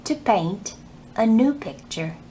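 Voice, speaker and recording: A woman hosting a children's storytime, reading and teaching in an animated way with careful enunciation for young listeners. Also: mid-range voice, slow. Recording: roomy echo, faint background noise.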